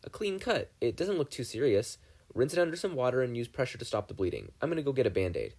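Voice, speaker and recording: A man voicing a soft-spoken, helpful seventeen-year-old boy in a fan fiction audio reading. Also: mid-range voice, big pitch swings, quick pace, breathy edge, pitched above the performer's own voice.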